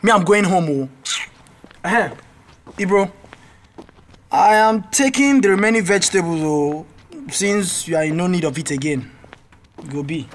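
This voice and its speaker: A careless young Nigerian man in a radio drama. His voice sits high, and he argues dismissively, shrugging off any blame. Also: expressive, moderate pace, long pauses. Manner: dismissive, argumentative.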